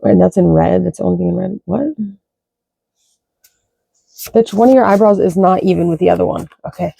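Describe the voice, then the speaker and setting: Woman with a deep, dark voice and a dry, close sound, reading cards in loose, sweary stream-of-thought chat. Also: fairly even intonation, fairly quick, gravelly, slightly rough, no room sound, rough recording. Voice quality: low voice. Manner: loose, profane, thinks aloud. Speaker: card reader. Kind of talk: casual rambling spiritual chat.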